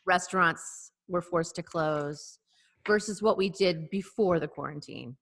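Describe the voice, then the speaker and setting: A woman's voice: lowish voice, unhurried, a bit bright. A woman in her forties co-hosting a casual video-call chat, making wry, sarcastic remarks. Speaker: co-host.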